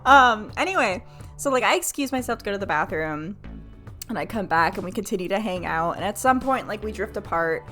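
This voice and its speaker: A woman's voice: mid-range voice, brisk, soft background music. A woman from Florida with an American accent, telling a candid personal story to her live stream chat in a slangy, profane, self-mocking way. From United States, Florida. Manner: animated, self-mocking, acts out quoted dialogue; profane, slangy, heavy use of "like".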